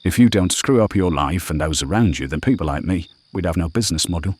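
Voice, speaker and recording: An actor playing a cold, sarcastic loan shark who threatens in a clipped way. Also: male voice, deep voice, quick pace, a little mellow, slightly rough, no room sound. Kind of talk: audio drama acting.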